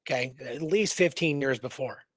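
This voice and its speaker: A man co-hosting a show, casually discussing a historical mystery in a halting, rambling way that repeats words. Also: medium pitch, no room sound, studio quality. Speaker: co-host of a radio history show.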